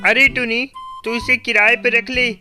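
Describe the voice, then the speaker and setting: A man's voice: extremely high pitch, flat delivery, a little slow, pushed and bright, faint music behind, full character voice. A man voicing a fickle, scheming pigeon husband in a children's cartoon. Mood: smitten, persuading. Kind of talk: Hindi children's cartoon dialogue.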